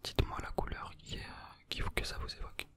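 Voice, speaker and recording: A young adult speaks in a soft, breathy whisper with almost no voiced sound. The manner is that of an ASMR examiner who is playful and teasing while staying gently reassuring. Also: quick pace. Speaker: ASMR roleplayer playing an examiner.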